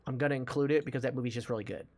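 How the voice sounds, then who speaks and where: Male podcast host, medium pitch, conversational and keen to explain, unscripted chat. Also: fairly even intonation, rapid-fire, darkish tone. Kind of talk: casual movie discussion.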